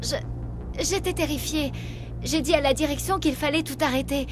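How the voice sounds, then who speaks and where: High-pitched, anxious young researcher, acted by a woman. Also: moderate pace, over music, natural voice. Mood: remorseful, anxious. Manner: earnest, anxious. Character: earnest, guilt-ridden young female company researcher. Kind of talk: dramatic French game dub acting.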